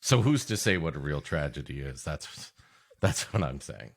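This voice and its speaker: A man's voice: extremely low pitch, sing-song, moderate pace, slightly rough. A man co-hosting a comedy podcast, bantering playfully in casual chat and often laughing.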